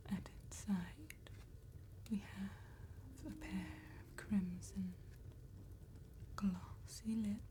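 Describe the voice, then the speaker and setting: A woman playing an attentive, courteous vampire tattoo artist in a slow, breathy ASMR whisper with deliberate pauses. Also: medium pitch, steady tone. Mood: calm, attentive. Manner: slow, deliberate, gentle phrasing with long pauses.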